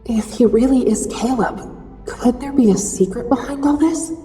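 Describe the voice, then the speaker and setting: An actress playing an earnest, searching young heroine in a sci-fi game, speaking softly and hesitantly with feeling. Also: female voice, a little high, moderate pace, breathy edge, soft background music. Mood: shocked, searching. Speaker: voice actor in a game cutscene. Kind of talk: dramatic game voice acting.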